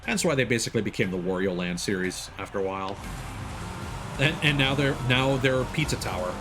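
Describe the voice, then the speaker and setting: A man giving casual, halting gameplay commentary as a live streamer, explaining as he plays. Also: on the low side, expressive, background noise. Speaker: live streamer playing a racing game. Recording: game music behind the voice.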